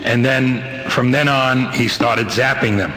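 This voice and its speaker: An older man with a New York accent giving a rambling, halting, wryly humorous personal testimony to a meeting, on a noisy old amateur tape. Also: mid-range voice. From Brooklyn, New York, United States. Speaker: guest speaker at a recovery meeting. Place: meeting hall, speaking to a group.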